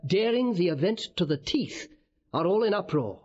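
A man's voice: high-pitched, very animated, normal speed, muffled recording. An actor playing a blunt, urgent nobleman in a classical verse audio drama. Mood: urgent, emphatic.

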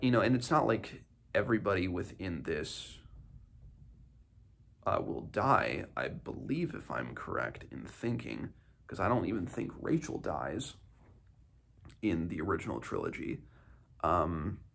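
A man in his twenties with a low, husky voice that often drops into fry. He muses his way through a casual book discussion, stopping and starting as he thinks aloud. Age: 20s. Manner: musing, halting, thinking aloud. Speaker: book reviewer, host of a read-along. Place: small home room, indoors.

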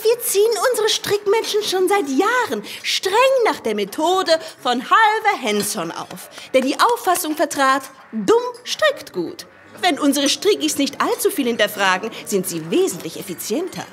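A woman's voice: sits high, big pitch swings, measured pace, few pauses, fairly bright tone, full character voice. A woman playing a smug, condescending chicken who runs a knitting farm in a comic sci-fi radio play.

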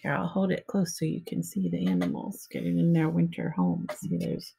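A middle-aged woman reading a picture book aloud to young children in simple, measured storybook phrasing. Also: fairly low pitch, sing-song, gravelly, warm tone, slightly rough. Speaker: preschool teacher reading aloud. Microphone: webcam at arm's length.

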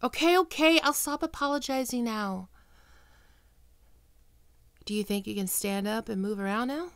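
A woman playing a guilt-ridden, tender young ghoul best friend in an intimate ASMR roleplay, speaking softly and haltingly with emotion. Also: a little high, clear, resonant tone, no room sound, natural voice. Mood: caring, teasing. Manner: soft, hesitant, emotional, halting.

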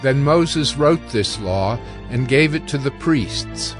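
A male narrator reads scripture for an audio drama. He takes his time at a slow pace, in a medium pitch, with music clearly playing behind him. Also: expressive.

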